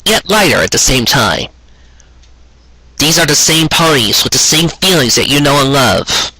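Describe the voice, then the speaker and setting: Male story reader, earnest and dramatic, bright edgy tone, close dry sound. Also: a little high, big pitch swings, normal speed, breathy edge, dry recording, poor audio. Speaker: fan fiction reader and show host. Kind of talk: expressive narrated story reading.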